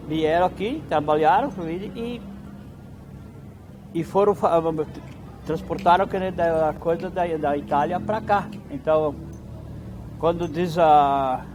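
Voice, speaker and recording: A man talks haltingly, stopping and restarting. His voice is fairly high. Faint traffic noise carries on outdoors behind him. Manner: halting, restarts, plain storytelling. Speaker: interviewee.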